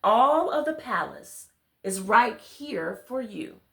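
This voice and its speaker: A woman voicing a kind, comforting old grandmother in a children's storybook, gentle and reassuring. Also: a little high, lively intonation, slow, gravelly, exaggerated voice. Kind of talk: read-aloud children's storybook.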